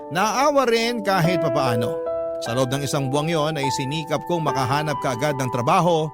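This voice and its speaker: A man narrating a Filipino radio drama as a scripted, first-person dramatic story. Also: a little high. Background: prominent background music.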